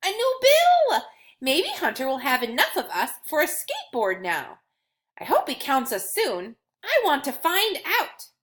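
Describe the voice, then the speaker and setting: An excitable little coin from a children's storybook, acted out by a woman. The voice is very high and swoops about in lively, bubbly exclamations. It is chatty and full of curiosity. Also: unhurried, exaggerated voice. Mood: excited, curious.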